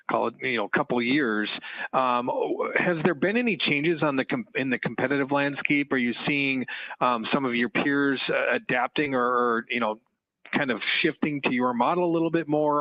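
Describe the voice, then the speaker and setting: A man speaking as a research analyst, asking questions on an earnings call in a halting way, stumbling over words. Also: medium pitch. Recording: dull conference phone audio.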